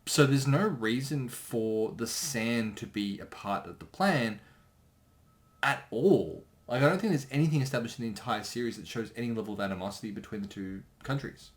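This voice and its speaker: A man chatting casually as a commentator, thinking aloud in a halting way. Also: medium pitch. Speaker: creator giving episode commentary.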